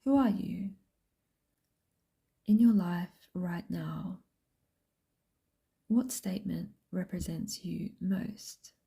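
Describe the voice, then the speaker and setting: A woman guiding a meditation in a soft, breathy whisper, speaking gently and unhurriedly with long pauses. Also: fairly low pitch. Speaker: meditation guide and host. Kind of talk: slow guided meditation, soft whispered speech.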